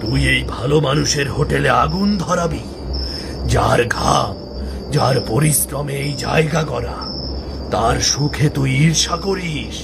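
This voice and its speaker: A man's voice: medium pitch, a little slow, gravelly. A man voicing a stern supernatural guardian in a cartoon, commanding and judging in manner. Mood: stern wrath. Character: stern supernatural guardian friend punishing the wicked. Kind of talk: cartoon story acting. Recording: loud background music.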